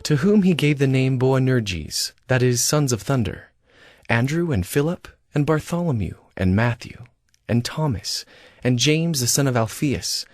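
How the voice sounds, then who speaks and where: A man narrating scripture in an audio drama, reading in a steady, earnest way. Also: mid-range voice, a little slow, dry recording. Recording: music bed behind voice.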